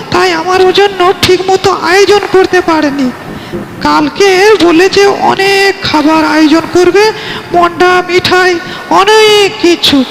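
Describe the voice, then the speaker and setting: A man's voice: very high voice, vocal fry, a bit bright, voice pushed up, full character voice, low-quality recording. A man voicing a sly, boastful fox who wheedles and chats in a cartoon fairy tale. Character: sly, boastful fox nephew telling tall tales.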